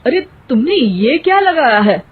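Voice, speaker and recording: A woman's voice: sits high, big pitch swings, unhurried, husky, narrow, tinny audio, exaggerated voice. A woman playing a long-suffering young office-going husband in a Hindi story cartoon. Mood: baffled.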